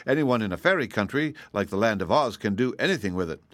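A man's voice: lowish voice, expressive, fast, dry recording, natural voice. A man voicing a brave, cheerful little girl adventurer who explains things in a confident, matter-of-fact way for a storybook. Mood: brightly explaining.

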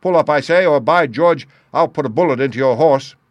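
A man voicing a frantic shouting young stranger. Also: medium pitch, brisk, no room sound, lo-fi sound, pitched above the performer's own voice, lightly acted. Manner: shouting, desperate outbursts. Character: frantic bearded young man, desperate and fierce.

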